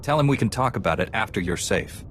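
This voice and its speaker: An actor playing a confident, steady young Jedi apprentice in a video game, firm and matter-of-fact. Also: male voice, fairly low pitch, fast talker, a little hiss or hum, lightly acted. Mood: calm, questioning. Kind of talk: heroic video game dialogue.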